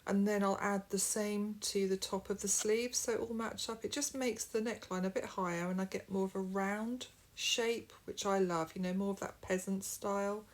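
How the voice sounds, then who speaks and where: A woman's voice: medium pitch, fairly even intonation, moderate pace, few pauses. A middle-aged woman, a bit sniffly from hay fever, chatting her way step by step through a home sewing tutorial, recorded on a camera mic. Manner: friendly, rambling, explains step by step. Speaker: sewing vlogger.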